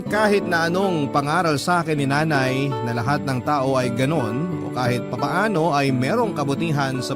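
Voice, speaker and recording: A man narrating a dramatic first-person story as the reader of a letter. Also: fairly high pitch. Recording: music bed under the voice. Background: guitar music.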